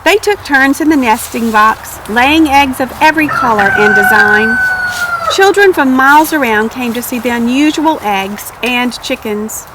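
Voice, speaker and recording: A woman's voice: sits high, expressive, unhurried, background noise. A woman narrating a children's storybook expressively. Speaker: storybook reader.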